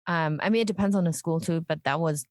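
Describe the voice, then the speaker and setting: A woman's voice: deep voice, fast, darkish tone, dry recording. Chatty woman podcast co-host, dry sound. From Hong Kong. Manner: chatty, laughs often, many fillers. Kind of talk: casual chat.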